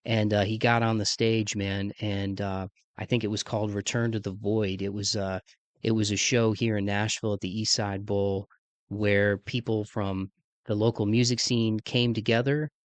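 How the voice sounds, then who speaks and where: Low-voiced congested man, fairly even delivery. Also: normal speed, no room sound. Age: mid-30s. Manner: laid-back slangy talk, says "man" often. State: congested from sinus trouble, voice deeper than usual. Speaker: podcast host. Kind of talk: casual solo podcast intro, chatty.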